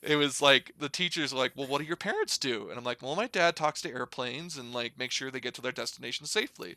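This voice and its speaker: A young American man with a bright, forward voice, recorded close and dry. He talks casually, as if explaining things to a friend. His delivery is informal and rambling, with plenty of slangy hedging. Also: mid-range voice, fast, dry recording. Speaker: podcast guest, air traffic controller. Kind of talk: casual conversational storytelling and explanation.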